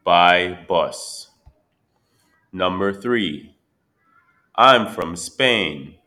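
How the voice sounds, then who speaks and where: A man slowly reading English listening test items, repeating each word and sentence deliberately. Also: low voice, expressive, pushed and bright. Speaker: test reader. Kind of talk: slow scripted exam dictation.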